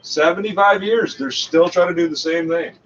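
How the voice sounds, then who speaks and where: A man's voice: on the high side, moderate pace, a bit bright. A man giving blunt, sardonic, informal commentary on a radio broadcast. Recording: muffled, noisy recording, off-mic.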